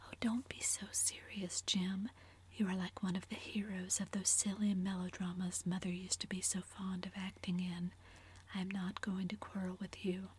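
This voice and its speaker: A woman's voice: mid-range voice, flat delivery, moderate pace, darkish tone, slight background noise, lightly acted. A woman whispering the part of a young woman in love, eager and fond, in a storybook reading.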